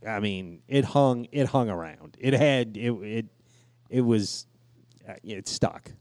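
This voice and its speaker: Male podcast co-host, lower register, casual raconteur with a profane streak, very dry sound. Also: slow, a little mellow, no room sound. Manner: crude, profanity-laced. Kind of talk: casual storytelling banter.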